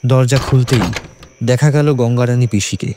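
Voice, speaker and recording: A man's voice: medium pitch, steady tone, moderate pace, background noise. A man reading a scripted horror story as a dramatic narrator. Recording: music under the voice. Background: background music.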